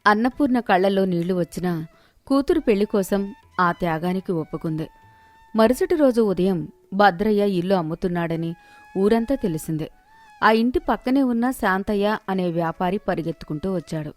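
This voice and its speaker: A woman's voice: medium pitch, animated, normal speed, soft background music. A woman narrating a scripted moral story as its storyteller.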